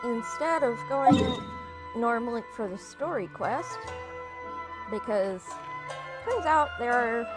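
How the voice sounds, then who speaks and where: Slow-paced, higher-voiced woman streaming. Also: animated, over music. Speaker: game streamer. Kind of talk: casual live gameplay commentary.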